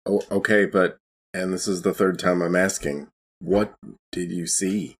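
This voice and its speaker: A man playing a big, self-doubting man in a tabletop game, speaking hesitantly with a husky voice. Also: sits low, fairly even intonation. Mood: subdued. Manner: hesitant, halting. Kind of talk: improvised tabletop role-play.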